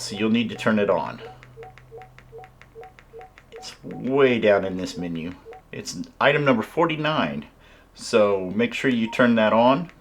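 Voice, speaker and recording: A man giving a casual hands-on product tutorial, explaining things informally step by step as a reviewer. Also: mid-range voice. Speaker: radio reviewer.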